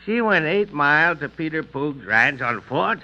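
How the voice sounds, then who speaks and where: An actor playing a skeptical, probing defense lawyer who questions with condescension in an old radio drama. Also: male voice, fairly high pitch, animated, measured pace, sharp tone, exaggerated voice. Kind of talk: 1930s radio drama acting. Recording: dull old radio recording.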